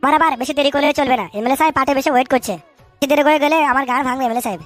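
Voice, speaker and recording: A very fast, high voice for a shifty, lovestruck cartoon dog , acted out by a performer. The talk is foul-mouthed slang, blustering and tripping over itself, with music behind it. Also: a bit bright, no echo. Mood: excitable, flustered. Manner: blustering, stammers when flustered, colloquial and foul-mouthed. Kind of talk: comic cartoon dub with street slang.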